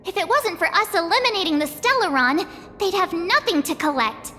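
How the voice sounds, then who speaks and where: A woman's voice: extremely high pitch, animated, brisk, sharp tone, a little hiss or hum, exaggerated voice. A woman voicing a perky, outspoken teenage girl adventurer in a video game, informal and spirited. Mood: indignant. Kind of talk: video game cutscene voice acting. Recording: long hall reverb, background music.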